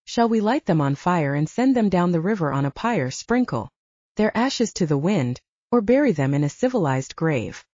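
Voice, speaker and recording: A woman voicing a grizzled old male werewolf in an audiobook, wry and deliberate. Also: lowish voice, sing-song, warm tone, no room sound, muffled recording. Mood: puzzled, wry.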